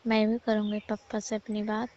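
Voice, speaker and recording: A woman talks casually on a private phone call. Her voice sits at an average pitch with very little melodic movement, and the call recording sounds dull and lo-fi. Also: completely flat delivery, moderate pace, no echo.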